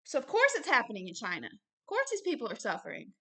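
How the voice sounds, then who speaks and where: A woman with a fairly high voice delivers unscripted religious teaching. She is emphatic and didactic, breaking her thoughts into short phrases. Key words come back again and again as she drives each point home. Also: wildly swooping pitch, fast talker, a bit bright, breathy edge. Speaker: religious teacher and show host. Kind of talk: extemporaneous Bible teaching and book commentary.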